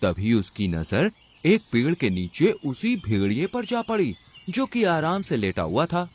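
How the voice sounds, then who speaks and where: A man's voice: fairly high pitch, wildly swooping pitch, unhurried. A man narrating a scripted children's story.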